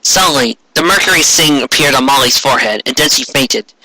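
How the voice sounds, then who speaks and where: Male reader, comic dramatic narration, forceful and lively, stumbles on awkward phrasing. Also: medium pitch, brisk, no echo, low-quality recording. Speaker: fan fiction reader. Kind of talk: dramatic comic reading of fan fiction.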